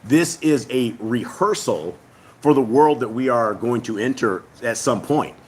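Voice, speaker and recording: A man hosting a family faith channel who speaks to viewers in a casual, earnest, rambling way, his voice hoarse and partly lost. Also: mid-range voice, animated, a little slow, a little mellow. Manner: earnest, rambling, with many hesitations. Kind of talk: casual spoken address to viewers.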